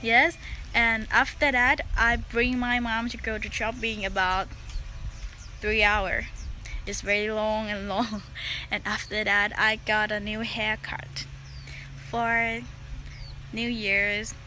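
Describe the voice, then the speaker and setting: Woman vlogger, non native english, talks slow and kinda halting, really bright voice, bit of noise behind. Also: on the high side, flat delivery. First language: not English. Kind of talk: casual vlog talk to camera.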